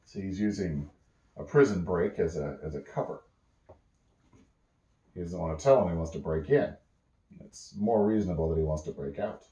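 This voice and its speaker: A man with a low-pitched voice makes up a bedtime story in a casual manner. He pauses and restarts as he invents it. The room around him is echoey. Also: dark tone, lo-fi sound. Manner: halting, casual, pauses mid-sentence. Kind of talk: improvised bedtime storytelling with acted dialogue. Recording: roomy, echoey home recording.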